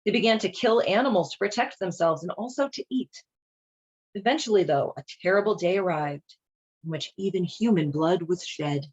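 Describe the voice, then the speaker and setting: Woman, husky voice, casual read-aloud host, wry, irreverent, informal, slightly dull lo-fi recording. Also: lowish voice, normal speed, darkish tone. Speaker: host reading and commenting on a children's Bible.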